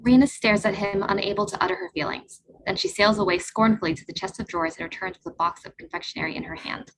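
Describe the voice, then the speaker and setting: A woman reading stage directions aloud in a play reading over a video call, in a brisk, even narrating manner. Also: mid-range voice, low-quality recording.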